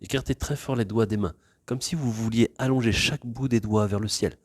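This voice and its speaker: A man teaches yoga in a low, slightly breathy and husky voice. He guides posture and breath gently and without hurry. The sound is close and dry. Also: dry recording. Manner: calm, unhurried, gently instructing. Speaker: yoga teacher leading a class.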